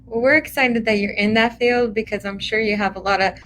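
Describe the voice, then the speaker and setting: A woman's voice: on the high side, steady tone, brisk, a bit bright, a little hiss or hum. A woman hosting a casual live interview in a welcoming, encouraging way. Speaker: interview host.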